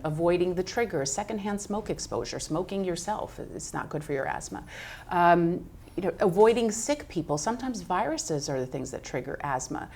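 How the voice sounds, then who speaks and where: A woman from Brooklyn, a physician guest explaining medical topics in a clear, measured way with frequent "uh" fillers. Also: fairly low pitch. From Brooklyn, New York. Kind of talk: explanatory interview answers.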